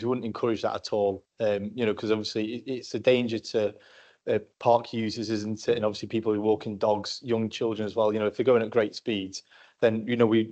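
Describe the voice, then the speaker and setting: A man's voice: on the low side, a little mellow. Hesitant male councillor, muffled recording. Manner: hesitant, many fillers and restarts. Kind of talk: answering a question at a council meeting. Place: council chamber.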